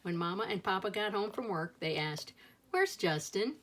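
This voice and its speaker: A woman's voice: mid-range voice, a little fast, fairly bright tone, slight background noise. A woman reading a children's picture book aloud as a storyteller, expressively acting out the dialogue.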